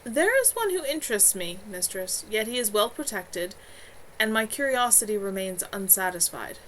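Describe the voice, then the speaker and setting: A woman voicing a male demon in a storybook, formal and deliberate as it bargains with its summoners. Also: mid-range voice, sing-song, fairly bright tone. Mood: measured, sly. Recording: clearly noisy home livestream.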